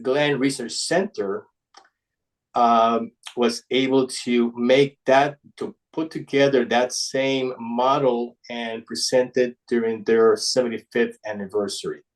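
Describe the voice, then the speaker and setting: A man with an Ecuadorian Spanish accent giving an informal slide presentation, speaking haltingly and often restarting his words. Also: mid-range voice, steady tone, rough recording. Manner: halting, with repeated word restarts. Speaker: guest presenter, paper model designer.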